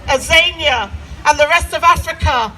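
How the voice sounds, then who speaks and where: A woman giving an emphatic, declamatory public address as an activist, phrasing deliberately, outdoors. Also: high-pitched, music in the background, a crowd in the background, low-quality recording. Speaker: activist speaker. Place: outdoors at a street gathering.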